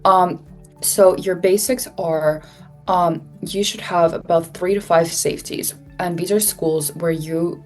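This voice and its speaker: A young woman from Russia who grew up in Switzerland, a college student explaining admissions in a webinar with frequent fillers. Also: fairly low pitch. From Russia, raised in Switzerland. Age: young adult. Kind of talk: webinar presentation.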